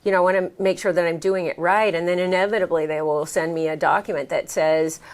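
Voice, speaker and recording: An American woman chatting casually as an interview guest, telling her experiences in an animated, exclamatory way. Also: fairly low pitch, fast. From United States. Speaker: interview guest, American who moved to France. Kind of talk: casual conversational storytelling.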